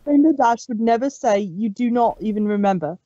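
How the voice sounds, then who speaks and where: A bubbly pink pony mare with a high, mellow voice, read by a woman. She sounds childlike and pleading, stammering when upset. The sound is dry, dull and lo-fi. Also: expressive, fairly quick, dry recording. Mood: realizing. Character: bubbly pink female pony, now distraught. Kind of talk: fanfic read-aloud on stream.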